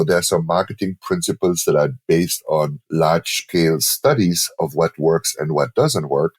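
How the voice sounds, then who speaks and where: A middle-aged man with a German accent, a guest in a podcast interview, explaining brand strategy in a relaxed, explanatory way and sometimes acting out what imagined clients say. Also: sits low, dry recording.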